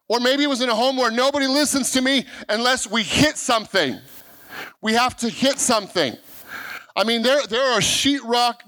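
A man's voice: high-pitched, pushed and bright. A middle-aged male pastor preaching earnestly, often restarting and repeating words as he drives his points home. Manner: acts out quoted voices of people he describes. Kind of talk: sermon.

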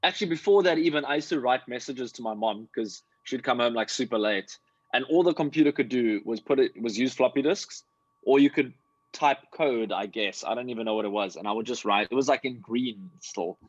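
An American man in casual, conversational podcast chat, with a husky voice and a slightly dull recording. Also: medium pitch, fairly quick, dry recording. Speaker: podcast performer.

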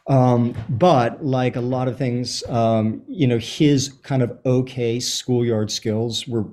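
A man in his fifties with an American accent, answering interview questions in a thoughtful, halting, storytelling way. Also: medium pitch, steady tone, darkish tone. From Los Angeles, USA, born in Chile. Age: 50s. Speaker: interview guest, soccer writer and supporter. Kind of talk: reflective conversational interview answers.

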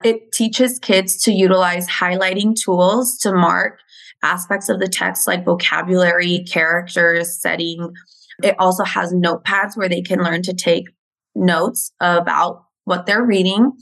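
A woman's voice: on the low side, fairly even intonation, a little slow, a bit bright, low-quality recording. A Mexican-born woman teacher earnestly explaining a reading program in an interview. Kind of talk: interview conversation.

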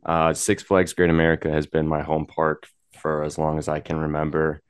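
A man from Wisconsin with a very deep voice, a little sick, chatting easily as an interview guest. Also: monotone, normal speed, clean tone, no room sound, clean studio sound. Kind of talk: casual interview chat.

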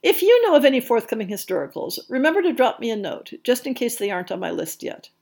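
A woman hosting a podcast, reading scripted narration in a measured, articulate and expressive way. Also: fairly high pitch, creaky. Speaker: podcast host. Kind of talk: scripted narration, reading book listings and blurbs.

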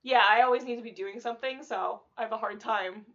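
A woman's voice: a little high, quick pace, a bit bright, dull audio. A woman co-hosting a podcast in casual chat, restless and laughing often, swearing casually and drifting off the mic. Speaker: podcast co-host.

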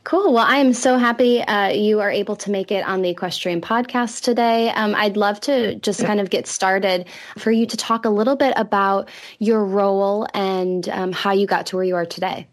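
A woman's voice: medium pitch, fairly even intonation, normal speed. Upbeat woman hosting a podcast. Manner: upbeat and friendly, with laughs. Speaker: podcast host. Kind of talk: casual interview hosting.

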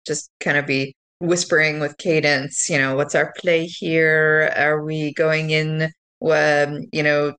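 Female tabletop player, slightly low voice, casual, conversational, slipping in and out of character. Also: level tone, slow, a bit bright, smooth. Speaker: player in a tabletop role-playing game. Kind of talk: casual in-character game discussion.

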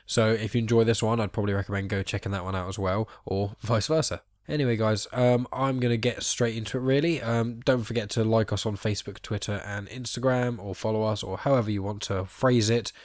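A young man with a stuffed-up nose from hay fever hosts a chat podcast, talking quickly with plenty of ums and asides. His voice sits somewhat low, and the recording is close and dry, with no room sound. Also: a little mellow, dry recording. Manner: rambling, many ums and sort-ofs. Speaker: podcast host.

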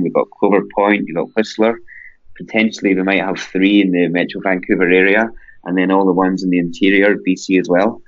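A man's voice: deep voice, dull audio. A Scottish man from Scotland, a guest on an interview podcast, talking enthusiastically and rambling through long lists. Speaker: parkrun Canada guest.

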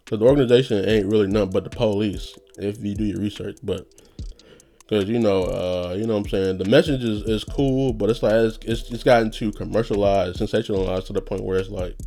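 A man's voice: lowish voice, fairly even intonation, fairly quick, mellow. A young man talking in a loose, rambling, profane monologue, a little nasal, laughing often. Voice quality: slightly nasal. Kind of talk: casual monologue.

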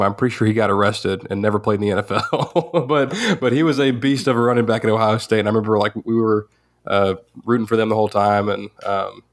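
A man, co-hosting a sports podcast, rambling with many restarts. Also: fairly low pitch. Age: 20s. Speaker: podcast co-host. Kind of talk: casual sports chat.

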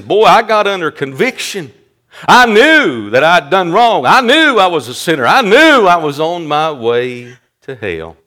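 A fervent man delivers an evangelistic sermon in a high-pitched voice. He takes his time, stretching phrases and repeating them for weight. His volume swings from loud proclamation down to hushed asides, punctuated with calls for amens. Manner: emphatic repetition, calls for amens. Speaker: preacher.

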